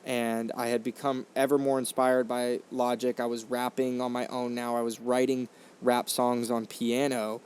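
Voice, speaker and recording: A man's voice: medium pitch, flat delivery, normal speed, darkish tone, clear, resonant tone. A man in his twenties hosting a podcast, telling his own story in an earnest, reflective way with many fillers and restarts, into a condenser microphone. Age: 20s. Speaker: podcast host. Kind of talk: casual storytelling monologue.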